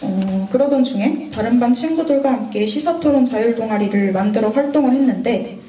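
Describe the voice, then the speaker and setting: A woman's voice: fairly high pitch, fairly quick, mellow, echoey room, recorded from a distance, a little hiss or hum, low-quality recording. A woman in her twenties from North Gyeongsang with a sore throat, giving an earnest, lightly scripted mentoring talk to students. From North Gyeongsang, Korea. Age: 20s.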